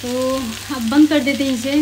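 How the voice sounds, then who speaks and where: A woman's voice: high-pitched, fairly even intonation, moderate pace. A young woman with a Bihari Hindi accent chatting to viewers in a home cooking vlog, filmed on a phone held close in her kitchen. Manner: chatty, addresses viewers as friends. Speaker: vlogger. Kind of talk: casual cooking vlog narration. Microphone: phone camera held close. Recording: clear sizzling and pan noise behind. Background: frying and stirring sounds.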